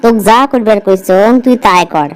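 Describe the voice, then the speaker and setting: A woman's voice: medium pitch, normal speed, clear, resonant tone, close to an everyday voice. A woman voicing a bossy village stepmother in a cartoon story, speaking with a northern Bangladeshi accent. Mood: angry, threatening. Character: harsh, scolding village stepmother who favours her own daughter. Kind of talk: amateur cartoon story voice acting.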